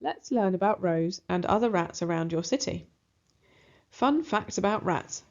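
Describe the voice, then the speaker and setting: A woman's voice: mid-range voice, animated, unhurried, darkish tone. Woman reading a children's story, slightly muffled. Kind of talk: expressive storybook read-aloud. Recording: slightly dull, muffled recording.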